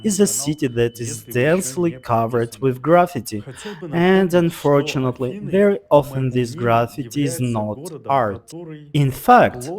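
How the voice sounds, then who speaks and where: A middle-aged man speaking English with a foreign accent, giving his own honest view in an earnest, measured tone. His voice sits at an ordinary middle pitch, and he is plainly working in a language that is not his first. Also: a little mellow. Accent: non-native English. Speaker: vlogger. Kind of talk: walking vlog narration.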